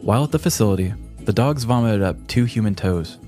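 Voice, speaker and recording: A man narrating a scripted true-crime comedy podcast in a theatrical, deliberate storytelling manner. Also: lowish voice, expressive, mellow, soft background music.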